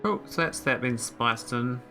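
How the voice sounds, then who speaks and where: A man's voice: mid-range voice, unhurried, pushed and bright, soft background music. A man casually commentating his own gameplay, thinking aloud and rambling with fillers into a desk microphone. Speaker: gameplay streamer. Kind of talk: casual let's-play commentary.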